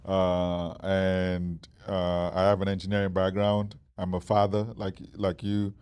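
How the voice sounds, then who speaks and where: Deep flat-voiced Nigerian man. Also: unhurried, smooth. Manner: hesitant, many fillers and restarts. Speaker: startup founder guest. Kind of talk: interview conversation.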